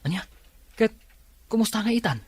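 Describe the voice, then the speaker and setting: A Filipino-accented man playing a nosy, blunt young friend in a radio drama, with a husky voice. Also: sits high, expressive, normal speed, no room sound, heavily acted. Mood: curious.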